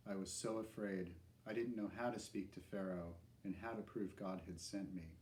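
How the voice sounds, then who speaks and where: A man's voice: low voice, steady tone, normal speed, darkish tone. A man reading scripture aloud with his family in a church service, speaking earnestly in the first person as Moses. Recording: echoey church with faint background noise.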